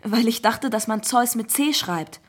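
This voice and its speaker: A woman voicing a chatty, enthusiastic schoolboy in a children's audiobook, with a chirpy, excited manner. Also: fairly high pitch, measured pace.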